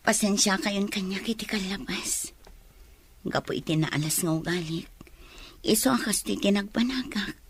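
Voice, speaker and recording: A woman's voice: mid-range voice, normal speed, long pauses, husky. A woman acting a humbled, remorseful young woman with an Ilocano Filipino accent in a radio drama. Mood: ashamed, grateful.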